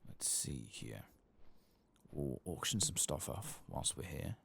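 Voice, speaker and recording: A soft-spoken man giving unhurried ASMR gameplay commentary, murmuring to himself and sometimes dropping toward a whisper, close to the microphone. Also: rumbling low, sing-song, vocal fry, breathy edge. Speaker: gaming streamer.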